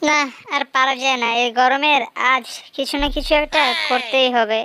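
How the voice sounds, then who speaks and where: A woman's voice: high voice, fairly even intonation, normal speed, pushed and bright, smooth. A woman voicing a hot, broke, grumbling husband fox in a cartoon. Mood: whining, exasperated. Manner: whining, grumbling. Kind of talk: cartoon character dialogue.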